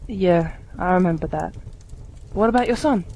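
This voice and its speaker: A woman playing a timid, earnest teenage boy in an amateur radio play, speaking politely and haltingly. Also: lowish voice, darkish tone, close to an everyday voice. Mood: earnest, hesitant.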